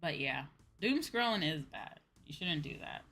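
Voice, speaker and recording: A woman's voice: low voice, expressive, unhurried, pushed and bright. A woman livestreamer chatting casually, in an informal, slangy, ranting way that acts out her own reactions. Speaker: VTuber livestream host.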